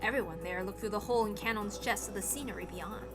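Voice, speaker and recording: A woman's voice: mid-range voice, quick pace, music in the background. A woman narrating a visual novel as a dramatic scripted reading.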